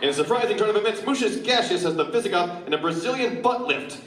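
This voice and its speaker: A man's voice: sits high, expressive, quick pace, fairly bright tone, a little hiss or hum. A man playing an eager, pompous Mexican wrestling co-commentator in a parody radio play, in an echoey hall far from the microphone. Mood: excited commentary.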